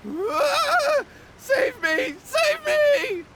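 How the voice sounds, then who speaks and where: A man's voice: very high-pitched, big pitch swings, drawn-out words, pushed and bright, a little hiss or hum, full character voice. A man voicing a nervous, cowardly, hard-up schemer in a video game, flustered and earnest. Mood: panicked. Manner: pleading, flustered, earnest explaining.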